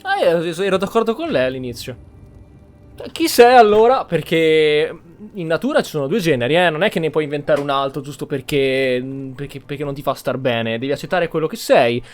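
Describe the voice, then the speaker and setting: A man with a high-pitched voice comments casually on a game. He is emphatic and opinionated, repeating himself and restarting his phrases. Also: lively intonation, soft background music. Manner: emphatic, repetitive, halting self-corrections. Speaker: gameplay streamer.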